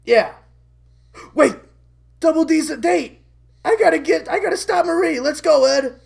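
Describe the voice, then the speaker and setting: Woman doing a loud, flustered cartoon boy. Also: on the high side, measured pace, creaky, voice pushed up. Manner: blustering, stammering, urgent. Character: hot-tempered, flustered scheming cartoon boy.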